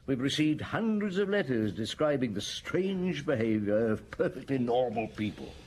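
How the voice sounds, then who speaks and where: An actor playing a patient, methodical middle-aged police inspector in a radio drama, reasoning aloud. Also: male voice, mid-range voice, big pitch swings, moderate pace, a little mellow, lightly acted. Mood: deliberate. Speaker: detective.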